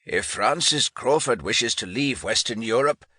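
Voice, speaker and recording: A man's voice: mid-range voice, expressive, a little slow, sharp tone, breathy edge, dry recording, pitched above the performer's own voice, exaggerated voice. A man voicing an irascible grey-bearded English lord and commander in an audiobook, speaking formally and with imperious emphasis. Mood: irritable.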